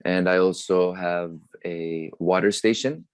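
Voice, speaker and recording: Low-voiced young man on a video call. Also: takes it slowly, smooth, dry recording, studio quality. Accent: American. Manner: relaxed, mixes Tagalog phrases into English. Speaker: guest performer and entrepreneur. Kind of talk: casual interview over video call. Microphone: video call webcam.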